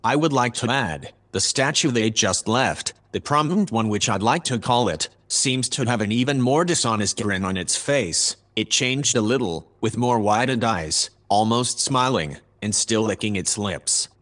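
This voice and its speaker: An actor playing a composed analyst who reports events in a measured, formal way in a sci-fi audio drama. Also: male voice, fairly low pitch, animated, a bit bright. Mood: measured, grave. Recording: clear background noise and ambience.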